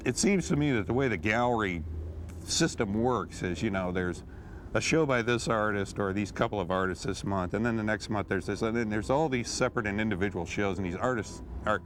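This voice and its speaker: A man who founded a gallery, recalling its start in a documentary interview, pausing often with fillers. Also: lowish voice, a little hiss or hum. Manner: hesitant, many "uh" fillers.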